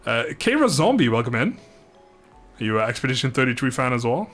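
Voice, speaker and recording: A man's voice: medium pitch, very animated, brisk, fairly bright tone. A man giving casual, rambling, self-deprecating commentary as he livestreams a video game. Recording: music playing behind the voice.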